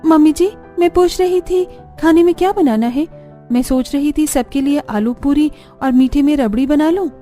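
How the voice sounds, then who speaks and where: A flustered young daughter-in-law from a cartoon family tale, acted by a woman. Her voice is high and soft-edged, and she complains dramatically. Music plays underneath. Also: normal speed, close to an everyday voice. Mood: polite. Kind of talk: Hindi cartoon story acting.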